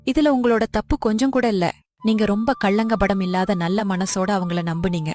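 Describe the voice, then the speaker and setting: A young woman voicing an earnest, caring young weaver in an animated story, with faint music behind. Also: medium pitch, moderate pace, lightly acted. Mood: reassuring.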